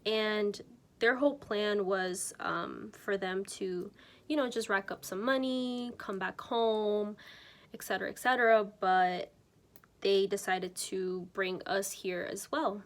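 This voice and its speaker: Young woman, twenties, from Mexico, casual faith testimony, loose and rambling, fillers, middle pitch. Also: steady tone, slow pace. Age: 20s. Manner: chatty and unpolished, lots of ums and self-corrections. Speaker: church member sharing her testimony. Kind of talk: casual personal testimony to camera.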